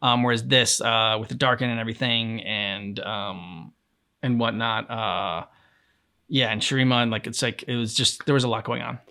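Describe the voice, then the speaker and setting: Male reaction co-host, medium pitch, casual, loosely phrased and laid-back delivery. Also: steady tone, normal speed, sharp tone.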